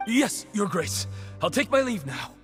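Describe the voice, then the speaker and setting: An actor playing a nervous, fawning prison inmate who stammers and grovels, in video game dialogue. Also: male voice, sits high, big pitch swings, unhurried, fairly bright tone, faint music behind, exaggerated voice. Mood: flustered. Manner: stammering, anxious, obsequious.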